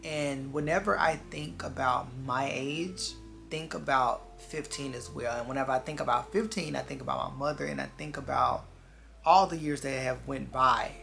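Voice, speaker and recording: Vlogger, twenties, gravelly fry with a bright edge, earnest reflective chat to viewers over background music. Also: mid-range voice, moderate pace. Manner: earnest, confiding, repeats phrases for emphasis.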